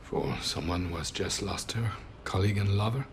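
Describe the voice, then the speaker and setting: A male police interrogator in a TV crime drama. His voice is fairly low and his questions terse and probing. There is faint noise in the background. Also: animated, moderate pace. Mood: cool, probing. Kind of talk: naturalistic TV drama dialogue.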